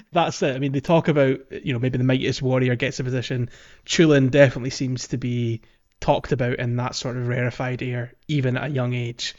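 A man's voice: mid-range voice, fairly even intonation, a little fast, a little mellow. Man chatting casually, slightly muffled. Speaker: podcast co-host. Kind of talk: casual chat about a video game.

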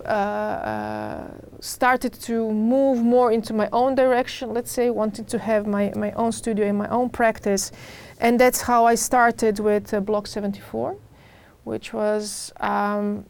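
A middle-aged woman with a Serbian accent answering interview questions about her work, often pausing and repeating words as she searches for phrasing. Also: fairly high pitch, gravelly, a little mellow. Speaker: podcast guest, urban designer.

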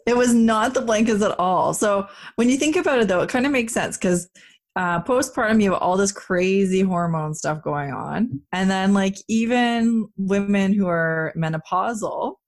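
A woman's voice: mid-range voice, normal speed. A woman co-hosting a casual pregnancy podcast, chatting with many fillers and restarts. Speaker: podcast co-host.

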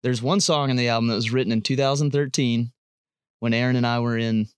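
A man in his late twenties, a band member chatting casually in a recording studio, rambling and quick to laugh. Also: medium pitch, fairly even intonation, clean tone, dry recording. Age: 20s. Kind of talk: casual band interview chat. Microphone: studio mic.

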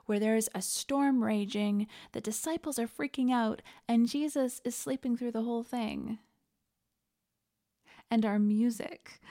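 A woman's voice: medium pitch, mellow. A woman hosting a podcast, reading a scripted introduction. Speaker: podcast host.

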